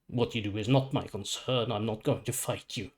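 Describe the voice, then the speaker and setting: Guy doing a calm old death-guide supervillain for a story, measured n formal, kinda quiet and matter of fact. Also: on the low side, lightly acted. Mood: calm, unbothered. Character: calm, weary, ancient psychopomp supervillain, death's courteous guide.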